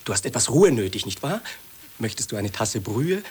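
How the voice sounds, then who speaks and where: An actor playing a fussy, over-solicitous nephew who insists politely, in an absurd comic radio play. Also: male voice, lowish voice, sing-song, moderate pace, a little mellow, breathy edge, full character voice. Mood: eagerly fussing.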